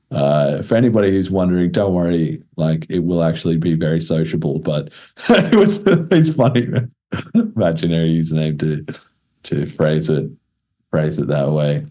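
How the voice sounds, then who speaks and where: A man's voice: low-pitched, mellow. A man hosting a podcast in a casual, chatty style with informal, slangy talk. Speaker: podcast host.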